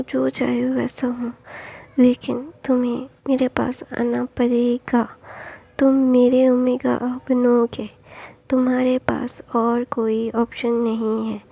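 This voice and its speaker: A woman voicing a possessive male professor, speaking quietly in a half-whisper. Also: fairly high pitch, monotone, slow, creaky, heavily acted. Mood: brooding.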